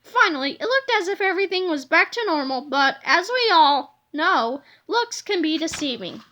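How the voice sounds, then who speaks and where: High, bright woman reading a storybook. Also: very animated, moderate pace. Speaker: read-aloud narrator. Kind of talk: expressive children's storybook narration.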